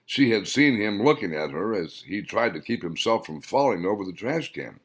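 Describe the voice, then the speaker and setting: Male author-narrator, deep voice with lively intonation, slow measured audiobook read. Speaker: author narrating his own novel.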